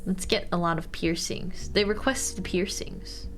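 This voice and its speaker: A woman's voice: on the low side, a little slow, a bit bright. A woman VTuber streamer chatting casually and easygoingly with her viewers while she draws. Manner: chatty, easygoing, talks to chat. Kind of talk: casual livestream chat while drawing. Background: faint background music.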